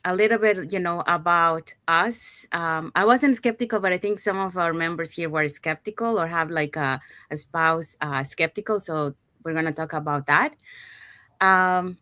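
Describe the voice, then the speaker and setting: A woman's voice: fairly low pitch, normal speed, pushed and bright, no room sound. A woman from Panama hosting an online business livestream over a video call, upbeat and chatty with many fillers and restarts. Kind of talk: presenting a live webinar promo.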